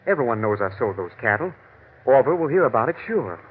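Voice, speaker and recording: An actor playing a stern, protective rancher husband in an old radio drama, firm and urgent. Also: male voice, mid-range voice, big pitch swings, quick pace, natural voice. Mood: insistent. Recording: noisy, tinny vintage radio recording.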